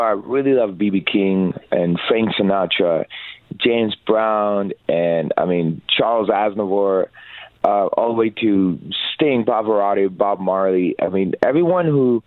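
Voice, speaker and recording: A man in his thirties, raised in Hawaii, talks about his music with an earnest, upbeat warmth, his voice sitting fairly low. He comes through a dry, tinny phone line. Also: measured pace, no echo. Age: 30s. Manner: earnest, upbeat, lots of fillers. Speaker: musician guest. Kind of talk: casual interview.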